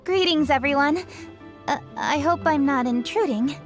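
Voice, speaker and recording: A woman plays a poised young noblewoman official in a video game. Her high voice is polite and earnest, with formal, dutiful phrasing over faint music. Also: normal speed, natural voice.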